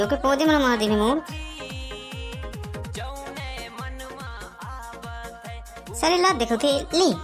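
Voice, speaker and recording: Man acting a high-pitched rowdy Bagheli cartoon lad. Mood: complaining. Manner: brash, taunting, slangy. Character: rowdy, pushy young man set on wedding dancing. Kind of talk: comic cartoon dialogue.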